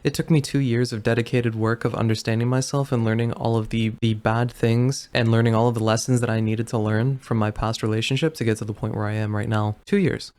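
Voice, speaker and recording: A man's voice: mid-range voice, darkish tone. A man speaking in an earnest, emphatic way, drawing on his own experience in casual conversation.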